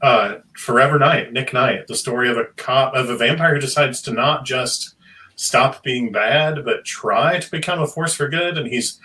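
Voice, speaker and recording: Bright-voiced man talking slightly off mic. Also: mid-range voice, moderate pace, distant mic, low-quality recording. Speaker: panelist. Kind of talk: enthusiastic casual panel discussion.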